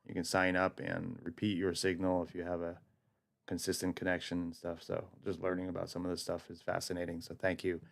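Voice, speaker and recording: A man with a gravelly voice, talking casually and explaining things as a livestream host. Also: sits low, completely flat delivery, fairly quick, no echo.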